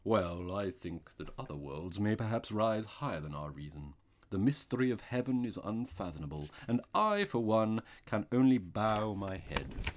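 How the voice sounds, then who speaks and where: A reader voicing a tall, boastful, menacing master thief in a storybook, loud, pompous and taunting. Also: sits low, sing-song, normal speed. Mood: solemn.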